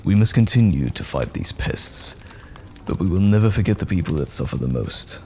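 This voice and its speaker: A man playing a gruff but kindly knight general in a fantasy audio roleplay, speaking in hearty, archaic soldierly phrases with a gravelly voice. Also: very animated, moderate pace, a little mellow, a little hiss or hum, full character voice. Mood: earnest, protective. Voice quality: very deep, gravelly.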